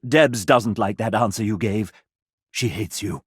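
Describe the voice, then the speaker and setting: A man's voice: on the low side, unhurried, husky, no echo, clean studio sound. An actor playing a sassy, theatrical male guide, narrating a video game in an arch, chatty way. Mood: dryly disapproving.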